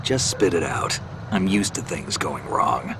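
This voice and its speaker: Gruff terse soldier, very deep gravelly voice, blunt, game audio with noise behind. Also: male voice, lively intonation, unhurried. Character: gruff male special-ops soldier.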